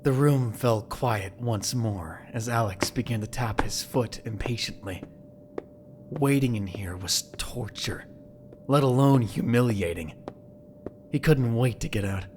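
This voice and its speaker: A man's voice: medium pitch, unhurried, slight background noise. A man giving scripted, dramatic story narration for an audio drama. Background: background music.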